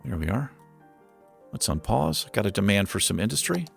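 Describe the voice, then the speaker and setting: Gravelly low-voiced man narrating gameplay. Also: normal speed, soft background music. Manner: relaxed, thinking aloud with many fillers. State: losing his voice. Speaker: gaming channel host. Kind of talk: casual gameplay commentary.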